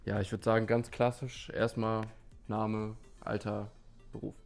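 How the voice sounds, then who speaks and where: A young man of twenty-one chatting casually as a dating show contestant, low-key and laconic. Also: flat delivery, a little slow, vocal fry, faint music behind. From Germany. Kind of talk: casual chat.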